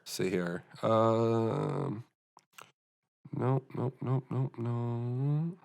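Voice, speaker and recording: An American man co-hosting a laid-back podcast, talking in loose, rambling stretches. He circles back often, fills pauses with ums and restarts his sentences mid-thought. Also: mid-range voice, steady tone, gravelly, darkish tone. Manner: rambling, many restarts and fillers. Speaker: podcast co-host. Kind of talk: casual chat.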